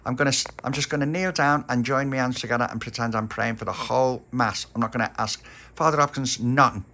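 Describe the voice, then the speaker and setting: A man voicing an outspoken, curious little girl in a high, earnest voice. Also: fast, sharp tone.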